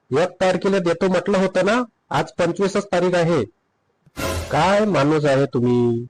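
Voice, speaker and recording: A man's voice: on the high side, a little mellow, slight background noise, lightly acted, low-quality recording. A man playing a rude, grumbling husband in a comedy cartoon, blunt and sarcastic with a Vidarbha Marathi accent.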